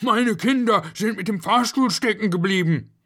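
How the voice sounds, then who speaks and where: A man's voice: medium pitch, huge pitch swings, a little slow, sharp tone, full character voice. A man voicing a harried, strict working father who is easily exasperated in a children's story. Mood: worried.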